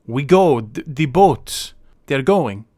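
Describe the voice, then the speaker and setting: A man's voice: mid-range voice, expressive, slow, dark tone. A man playing an earnest, hopeful young Italian emigrant in an audio drama, speaking broken English with Italian words. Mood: urgent.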